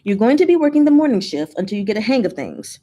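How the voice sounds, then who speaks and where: A woman voicing a strict ghost who runs gambling den staff, brisk, firm and businesslike, in a storybook reading. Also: a little high, sing-song, dark tone. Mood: stern.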